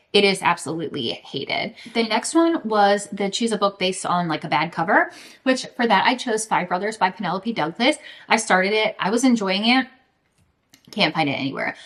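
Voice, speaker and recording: Woman doing a chatty book vlog, mid-pitched voice, animated and informal, pokes fun at herself. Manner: animated, informal, emphatic, self-deprecating humour. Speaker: book vlogger. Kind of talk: casual chatty vlog to camera.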